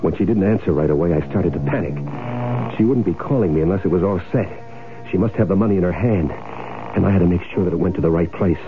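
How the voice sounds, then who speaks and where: A man's voice: sits low, quick pace, background noise, lightly acted. An actor playing a crooked, greedy, impatient man in an old-time radio drama, bossy and slangy. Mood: scheming, eager. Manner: hard-boiled, bossy, slangy. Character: crooked, greedy, impatient man hiding out after faking his death. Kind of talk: old-time radio drama acting with first-person narration. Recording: muffled, narrow-band old radio broadcast with music.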